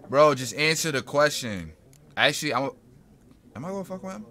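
A man's voice: on the high side, very animated, a little fast, bright, forceful tone. An American man doing casual, slangy gameplay commentary, swearing and brashly reacting to the game. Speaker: gamer streamer. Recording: game sounds behind the voice. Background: game ambience and horse hooves.

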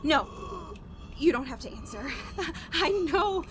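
An actress playing a desperate, guilt-ridden woman in a horror radio play, halting and repeating herself as she pleads through tears. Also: high-pitched, lively intonation, slight background noise. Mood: tearful pleading. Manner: halting, repetitive, sobbing, pleading. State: crying, panicked.

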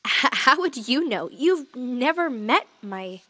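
An actress playing a stubborn, self-doubting young starship ensign in an audio drama, with a casual, blunt, questioning way of talking. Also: female voice, high-pitched, expressive, takes it slowly, sharp tone, dry recording, heavily acted. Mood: stunned, questioning.

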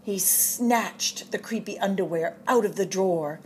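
A woman reading a picture book aloud to children in an expressive, dramatic storyteller's way, drawing out suspenseful phrases. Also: medium pitch, measured pace, noisy background. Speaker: storytime reader at a public library.

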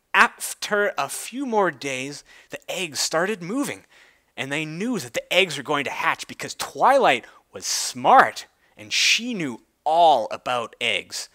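A man's voice: fairly high pitch, sharp tone. A man narrating a story as a dramatic reading, in a grandiose, theatrical manner.

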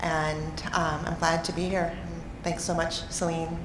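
Woman panelist, businesslike, lowish voice, long hall reverb. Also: little pitch movement, unhurried, a little hiss or hum. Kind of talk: panel discussion.

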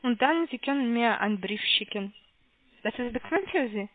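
A woman with a foreign accent making a halting sales phone call, hesitant and careful as she spells things out. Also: a little high, animated. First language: not German. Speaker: call centre caller. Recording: muffled, narrow phone line.

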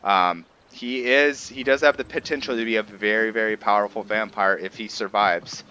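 A man's voice: lowish voice, moderate pace, pushed and bright, dull audio, slight background noise. A man hosting a let's play with casual gameplay commentary, hesitant and often correcting himself as he explains.

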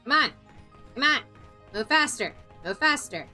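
A woman chats casually over a game she is playing. Her pitch is high and jumps around in a lively way, with silly exclamations and repeated phrases. Game music runs faintly beneath her. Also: a little slow. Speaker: game streamer. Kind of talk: casual playful gameplay commentary.

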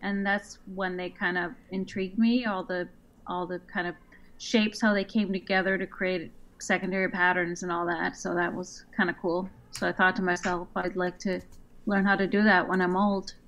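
A woman's voice: fairly low pitch, little pitch movement, moderate pace, a bit bright, lo-fi sound. Chatty icelandic-born lady guest, restarts sentences a lot, laughs easy. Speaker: guest quilt designer. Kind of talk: casual interview chat.

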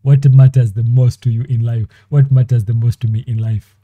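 A man performing the same dramatic monologue several times over, in a slow, theatrical delivery with long pauses. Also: mid-range voice, flat delivery, no room sound, phone-quality sound. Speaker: animator and voice actor. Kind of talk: dramatic monologue in five voice takes.

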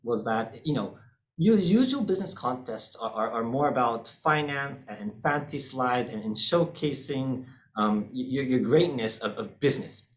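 A man's voice: medium pitch, lively intonation, normal speed. Man with an American accent on a video call. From born in the UK, raised in US and Hong Kong. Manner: frequent fillers and repeated words. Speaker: fund manager presenting. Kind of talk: online seminar presentation. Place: indoor room, webcam video call.

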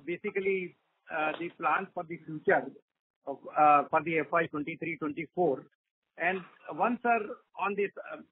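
A man with an Indian accent asking questions as an analyst on an earnings call, halting and polite. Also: a little high, husky. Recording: phone-like radio-sounding conference line.

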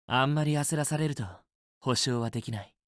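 Man acting a young student boyfriend, romance game character, possessive, teasing, softly coaxing, dry close recording. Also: mid-range voice, brisk, no room sound, natural voice. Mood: teasing, intimate. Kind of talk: Japanese otome game voice acting, intimate romantic dialogue.

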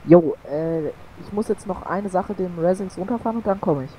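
A man's voice: high voice, moderate pace, dark tone, slight background noise, voice pushed up, close to an everyday voice. A man voicing a laid-back male farmer on a roleplay phone call, drawling with hesitant fillers. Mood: offhand.

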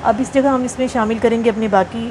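A slightly high-voiced woman talks through a home cooking lesson in a relaxed, chatty way. She lists quantities carefully, pausing and repeating items as she goes. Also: background noise. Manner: chatty, instructive, lists ingredients slowly with repeats. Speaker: cooking channel host. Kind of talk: home cooking tutorial voice-over. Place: home kitchen.

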